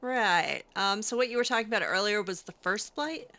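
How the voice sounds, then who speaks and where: A woman's voice: medium pitch, lively intonation, normal speed, bright, forceful tone. A woman voicing a skeptical, curious young mage in improvised game roleplay, hesitant and questioning with sardonic asides. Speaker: roleplay gamer.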